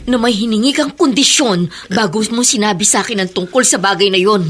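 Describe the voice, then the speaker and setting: Husky, suspicious mother. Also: medium pitch, a little fast, a bit bright. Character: resentful, suspicious mother confronting a man. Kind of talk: radio drama acting.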